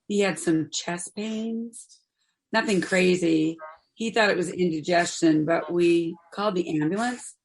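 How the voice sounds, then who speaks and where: Actress doing supportive woman in her 50s, stage drama, gentle and reassuring, talks really slow. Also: on the low side, poor audio. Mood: matter-of-fact, caring. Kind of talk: play reading, naturalistic dialogue.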